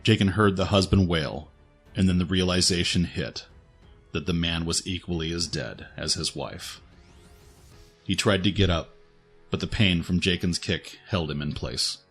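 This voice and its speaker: A man reads dramatic fiction as the narrator of an audio story. His voice is low, and faint music plays behind it. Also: normal speed, fairly bright tone. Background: faint background music.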